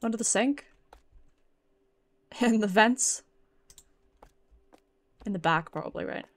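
A woman's voice: medium pitch, animated, slow pace. A British woman, a streamer giving chatty, giggly commentary while playing a video game in a casual livestream.

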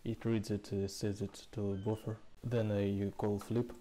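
A man presenting a programming tutorial in a casual walkthrough style, speaking haltingly in short, hesitant phrases. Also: on the low side, little pitch movement, mellow. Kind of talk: casual screencast code walkthrough. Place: indoors, voice-over recorded with screen capture.

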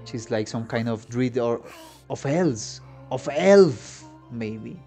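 Slow-paced man reacting over game music. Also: mid-range voice, animated, warm tone. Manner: exclamatory, slangy. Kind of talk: casual reaction commentary. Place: home room with instruments. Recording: game music playing behind the voice.

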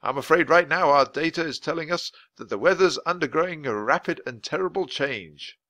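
A man voicing a tall, thin, polite older gentleman, speaking in a proper, old-fashioned way. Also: a little high, animated, moderate pace, dry recording, pitched above the performer's own voice. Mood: formal.

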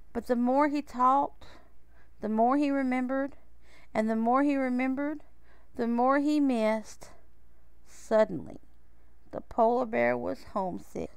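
A woman reading a children's picture book aloud in a measured, expressive way, with pauses. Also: a little high, creaky, clean tone, no echo. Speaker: storybook reader.

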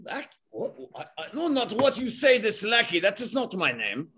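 A man putting on an indignant Latin luchador with a Latin American accent and theatrical broken English, raspy in tone. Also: high-pitched, sing-song, moderate pace, pushed and bright, heavily acted. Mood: defensive. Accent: put-on Latin American. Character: indignant, loud Latin luchador show-stealer.

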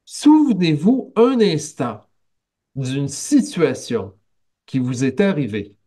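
Slow, measured Québécois preacher man. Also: fairly high pitch, sing-song, dark tone. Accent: Québécois French. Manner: slow, measured preaching with pauses and rising emphasis. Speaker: pastor. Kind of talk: sermon. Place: small office at home. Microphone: headset on a video call.

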